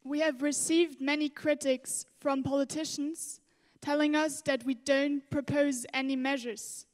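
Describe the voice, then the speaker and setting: A woman's voice: high-pitched, steady tone, measured pace. A woman from France reading a formal prepared statement as spokeswoman for a climate initiative. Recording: echoey hall with long reverb.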